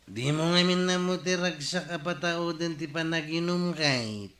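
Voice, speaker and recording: A man's voice: fairly high pitch, a little slow, fairly bright tone, reverberant. A man playing a foolish, stubborn villager in an Ilocano radio comedy drama, plaintive and argumentative.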